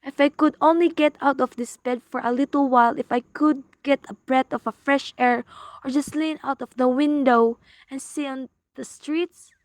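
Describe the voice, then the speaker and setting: A woman's voice: high-pitched, steady tone, normal speed, no room sound, natural voice. A woman playing a nervous, bedridden, demanding woman in a melodramatic radio play, agitated and complaining. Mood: fretful.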